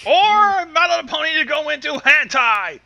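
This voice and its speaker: A man's voice: very high voice, very animated, normal speed, vocal fry, muffled recording, a crowd in the background. A man giving casual, exasperated, sweary reactions to a story reading. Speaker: commentator on a fan fiction reading.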